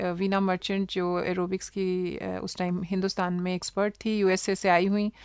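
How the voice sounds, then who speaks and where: A female guest speaks in an interview with an Indian accent. Her voice is clean and resonant at a middle pitch, and she hesitates between phrases, mixing in English. The recording is very dry. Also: clear, resonant tone, dry recording. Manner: hesitant, measured, mixes in English words. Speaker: interview guest, public relations officer. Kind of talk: conversational interview answers.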